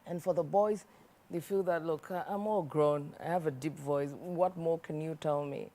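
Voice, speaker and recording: A woman's voice: low-pitched, normal speed, dark tone. A woman hosting a studio talk show, closing the episode and addressing viewers directly.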